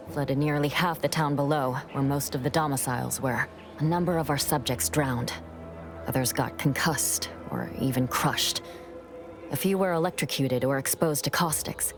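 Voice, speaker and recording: An actress playing an overworked medic in a video game, dry, clinical and matter-of-fact. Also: female voice, low-pitched, moderate pace, music in the background. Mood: dry, weary. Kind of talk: video game character dialogue.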